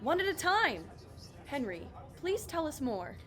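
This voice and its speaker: An actress playing a formal, officious school superintendent chairing a meeting in an audio drama. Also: female voice, very animated, measured pace, fairly bright tone, soft background music, exaggerated voice. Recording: faint noise with low rumble.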